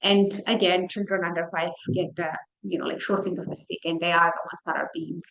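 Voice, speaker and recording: A woman's voice: on the low side, flat delivery, fairly quick, fairly bright tone. A woman physician gives a medical slide lecture in an informal, conversational way. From Ethiopia. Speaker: physician presenting a case lecture. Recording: dull, lo-fi lecture audio.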